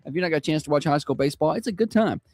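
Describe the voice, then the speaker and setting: High-voiced Southern radio host. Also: male voice, animated, rapid-fire, a little mellow, no echo. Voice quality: high-pitched voice. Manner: chatty, self-deprecating, laughs easily. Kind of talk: casual radio chat. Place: radio studio.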